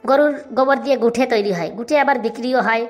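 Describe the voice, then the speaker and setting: A woman's voice: sits high, fast, natural voice. A woman voicing a cheeky, bossy, boastful village schoolboy in a comic cartoon, rattling on self-assuredly. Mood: eager reciting.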